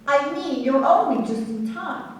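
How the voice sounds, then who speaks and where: Actress as high-voiced, prim hotel proprietress. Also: female voice, measured pace. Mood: prim, helpful. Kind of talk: radio play acting. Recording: echoey room, distant mic, faint noise.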